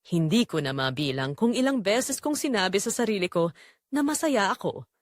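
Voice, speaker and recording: A woman's voice: medium pitch, animated, normal speed, no room sound, clean studio sound, lightly acted. A woman voicing a lonely, regretful migrant worker in a drama story, plaintive and confessional, with a Filipino accent.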